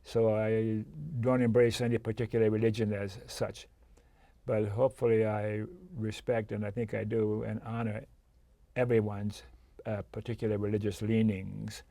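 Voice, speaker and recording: An older man hosting an interview, chatty and jokey, quick to laugh between questions. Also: lowish voice, flat delivery, creaky, mellow, dry recording. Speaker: host. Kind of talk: casual interview.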